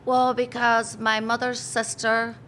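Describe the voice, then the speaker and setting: A woman's voice: fairly high pitch, flat delivery, takes it slowly, a bit bright, a little hiss or hum. An Irish woman in her fifties, chatting casually in an interview and telling stories with easy laughs. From Ireland. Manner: chatty storyteller, laughs easily, acts out quotes. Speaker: pub owner, interviewee.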